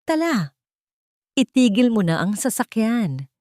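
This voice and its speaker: A woman's voice: on the high side, very animated, slow, darkish tone, no room sound, clean studio sound, voice pushed up. A woman voicing a cold, mocking male villain who speaks in menacing commands in a radio-style drama. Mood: commanding.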